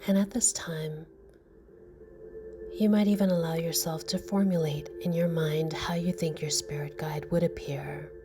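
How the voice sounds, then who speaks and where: A woman gently guiding a meditation in a soft, breathy, slow and soothing voice. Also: low-pitched, steady tone, noisy background. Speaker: meditation guide. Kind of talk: guided meditation narration. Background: music.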